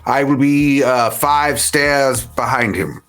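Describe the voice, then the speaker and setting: A man playing a stern, old-fashioned vampire in a tabletop game, speaking in a deliberate, formal way. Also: medium pitch, a bit bright, clear, resonant tone, soft background music. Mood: measured.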